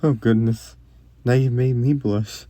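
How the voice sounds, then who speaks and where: A man playing a polite, gentle young prince in a romantic fantasy audio roleplay, speaking formally and a little hesitantly. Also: mid-range voice, steady tone, unhurried, no echo, lightly acted. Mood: flustered, shy. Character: young prince, courteous, gentle, shyly curious royal.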